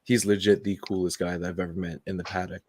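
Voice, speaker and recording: A man hosting a podcast, talking in a slangy, excitable, casual way. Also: sits low, quick pace, slight background noise. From United States. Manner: slangy, excitable, laughs easily. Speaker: podcast host.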